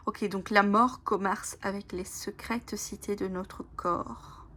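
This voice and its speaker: A woman whose first language is Italian, casually presenting oracle cards, reading their lines aloud slowly and whispering in awe, with many fillers. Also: mid-range voice, fairly even intonation. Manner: soft, often whispering in awe, hesitant with fillers. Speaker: oracle card channel host.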